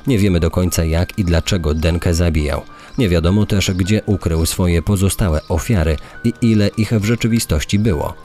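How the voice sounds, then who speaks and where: An actor as a deep-voiced serious criminologist. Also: male voice, warm tone, lightly acted. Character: male academic criminologist quoted on unsolved murders. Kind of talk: measured reading of a quoted statement. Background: background music.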